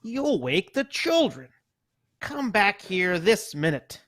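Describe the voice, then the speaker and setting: A man's voice: sits high, big pitch swings, slow pace, full character voice. A man putting on a bossy mother mouse who scolds her children in a live old-time radio play reading. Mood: scolding. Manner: loud, scolding and stern.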